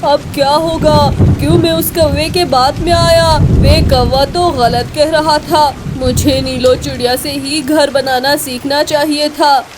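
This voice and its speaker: A woman's voice: high-pitched, steady tone, a little slow, few pauses, noisy background. A woman voicing a regretful, helpless forest bird in a cartoon story, lamenting plaintively.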